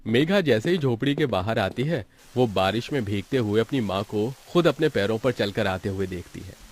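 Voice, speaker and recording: A man's voice: on the low side, animated, moderate pace. A man reading scripted narration as the storyteller of a moral tale.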